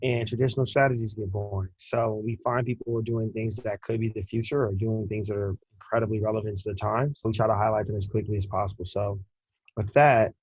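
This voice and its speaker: A man's voice: fairly low pitch, steady tone, fast, a little mellow, slightly rough, no room sound, rough recording. A man hosting a podcast, upbeat and informal, introducing and questioning his guest. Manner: upbeat, calls guest brother. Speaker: podcast host. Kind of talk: casual podcast interview.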